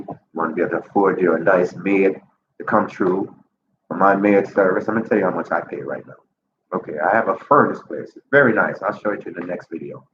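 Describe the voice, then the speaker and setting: A man in his fifties with a stuffy nose from a cold, talking casually to camera in his apartment, upbeat and chatty, his voice jumping up when he makes a point. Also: deep voice. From Jamaica. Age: 50s. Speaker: vlogger. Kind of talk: casual vlog talk to camera. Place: apartment indoors. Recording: roomy lo-fi audio with ambient noise.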